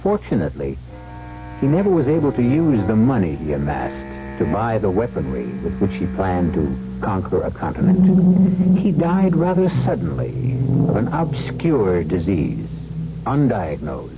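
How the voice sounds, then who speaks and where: A man hosting a radio mystery, narrating a script with measured, theatrical phrasing. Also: lowish voice, huge pitch swings. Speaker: host and narrator. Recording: muffled vintage radio recording, loud music behind. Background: prominent music.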